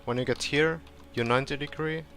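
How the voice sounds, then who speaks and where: A man casually talking through a game walkthrough, relaxed and rambling with fillers, his voice going hoarse. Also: a little high, fairly quick. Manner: relaxed, rambling, with frequent fillers and self-corrections. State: hoarse, clearing his throat. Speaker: gameplay tutorial streamer. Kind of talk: casual gameplay walkthrough commentary.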